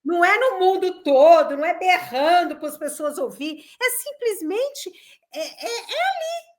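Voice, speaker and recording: Woman, high voice, very lively pitch, emphatic, opinionated chat. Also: moderate pace. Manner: emphatic, opinionated, animated storytelling. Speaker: co-host. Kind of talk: casual chat.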